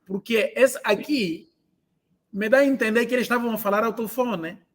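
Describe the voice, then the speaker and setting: A man teaching an online language class, explaining and translating phrases and repeating them slowly for learners. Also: high voice.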